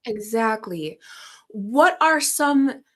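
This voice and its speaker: A woman's voice: a little high, animated, drawn-out words, a bit bright. A woman hosting a podcast interview, chatting casually in an agreeable, affirming manner. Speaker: podcast host.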